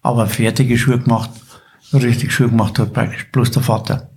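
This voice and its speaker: A man's voice: medium pitch, little pitch movement, normal speed, darkish tone. A man in his eighties with a Bavarian accent, casually reminiscing as a podcast guest. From Bavaria, Germany. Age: 80s. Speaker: podcast guest, local veteran.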